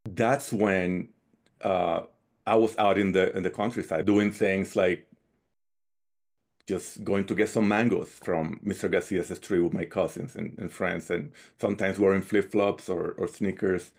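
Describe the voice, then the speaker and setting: A man's voice: deep voice, steady tone, measured pace, darkish tone. A man from the Dominican Republic answering interview questions conversationally and reflectively, often checking in with "right" and "you know". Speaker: founder of an outdoor youth program, interview guest.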